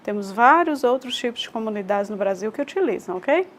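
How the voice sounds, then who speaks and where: A woman's voice: fairly high pitch, expressive, fairly quick, slight background noise. A woman with a Brazilian Portuguese accent giving a recorded online lecture. She explains step by step, poses rhetorical questions, repeats key points and checks in with "ok?". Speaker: teacher.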